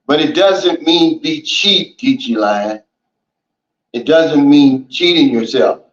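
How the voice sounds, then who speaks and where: A man hosting a casual livestream, reading out viewer comments and chatting in a relaxed, folksy way, often saying "man" and "you know". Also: a little high, slow pace. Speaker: livestream host. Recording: roomy and dull, lo-fi.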